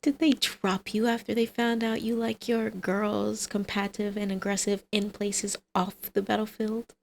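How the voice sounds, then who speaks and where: A woman's voice: mid-range voice, little pitch movement, measured pace, creaky, darkish tone. A woman playing a brazen, scheming supervillain mother in an ASMR roleplay, teasing, smug and coaxing. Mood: defiant, taunting.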